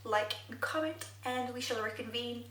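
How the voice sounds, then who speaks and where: Bright-voiced woman vlogger, Arabic native speaker. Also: fairly high pitch, normal speed, reverberant, distant mic, soft background music. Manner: thoughtful, wordy, many fillers. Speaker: beauty vlogger. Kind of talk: get-ready-with-me makeup chat. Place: bedroom, indoors.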